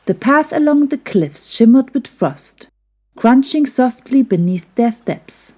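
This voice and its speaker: A woman reads a children's bedtime story in a gentle, unhurried way. Her voice is fairly high and mellow with a soft husk to it, and her delivery is soothing and tender. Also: husky.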